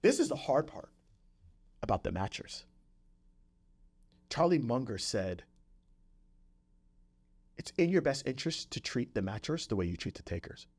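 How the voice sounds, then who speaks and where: A man's voice: mid-range voice, big pitch swings, unhurried, long pauses, a little mellow. A man hosting a podcast in a conversational motivational style, repeating phrases for emphasis and often checking in with the listener. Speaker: podcast host.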